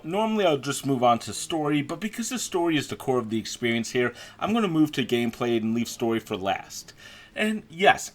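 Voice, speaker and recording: A man giving a conversational, opinionated voice-over review as a video game critic. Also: mid-range voice.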